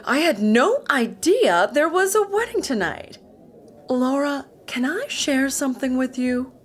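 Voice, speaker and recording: A woman's voice: high-pitched, expressive, measured pace, slight background noise. A woman playing a nosy, self-satisfied big boss in a sitcom podcast, theatrical and confiding. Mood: excited, confiding.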